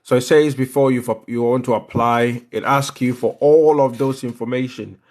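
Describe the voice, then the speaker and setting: A man's voice: medium pitch, steady tone, normal speed, darkish tone. A man with a Ghanaian accent hosting a live step-by-step tutorial, instructive and repeating phrases for emphasis. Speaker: livestream host explaining visa applications.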